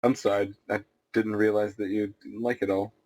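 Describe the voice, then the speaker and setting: A man playing a tabletop roleplaying game, speaking calmly and politely in character. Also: fairly low pitch, moderate pace. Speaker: player.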